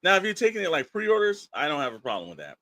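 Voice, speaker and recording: A man's voice: high voice, huge pitch swings, quick pace, sharp tone, dry recording. A man co-hosting a podcast, giving his opinions in an emphatic, rambling, colloquial way. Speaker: podcast co-host. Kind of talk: opinionated casual podcast talk.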